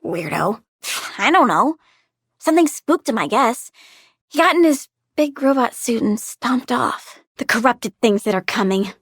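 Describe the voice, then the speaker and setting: A woman's voice: a little high, lively intonation, measured pace, a bit bright. An actress playing a childlike plant-girl villain in a video game, girlish and playful, petulant, gleefully menacing and doting on her plants. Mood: blunt, matter-of-fact. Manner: playful, petulant, swings from cooing to threats. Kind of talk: video game character voice lines.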